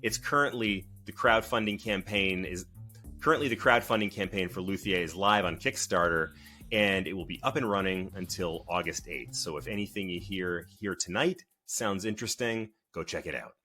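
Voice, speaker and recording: A man's voice: deep voice, moderate pace, pushed and bright, soft background music. A man co-hosting a board game podcast, reading a prepared explanation in an articulate, descriptive presenter's manner. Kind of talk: scripted explanatory narration.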